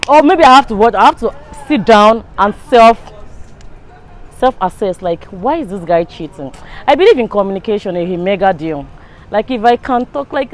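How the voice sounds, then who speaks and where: A young woman with a Nigerian accent chatting casually in a street interview. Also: medium pitch. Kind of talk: casual chat. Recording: faint outdoor traffic noise.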